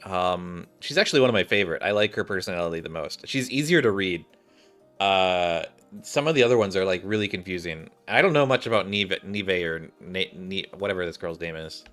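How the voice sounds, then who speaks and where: A man's voice: sits low, lively intonation, fairly quick, fairly bright tone, faint music behind. A man giving casual let's-play commentary as a gaming streamer, talking through a game and reading some of it aloud.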